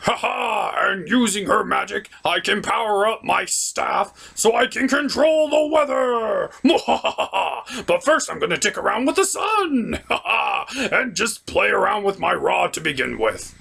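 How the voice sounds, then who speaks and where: A man voicing a gleeful, raspy villain king puppet with a hammy, drawn-out delivery in a comedy puppet show. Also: high voice, very animated, exaggerated voice. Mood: gloating. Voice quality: raspy, gravelly shouting. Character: gleeful cackling male tyrant Storm King villain puppet.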